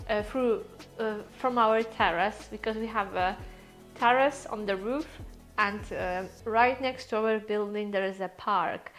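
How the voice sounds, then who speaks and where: A woman chats through a casual live stream, greeting her viewers one by one and laughing easily. Her voice sits a little high, with faint music in the background. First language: Polish. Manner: chatty, greets viewers by name, laughs often. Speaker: vlogger hosting a live stream. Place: holiday rental apartment.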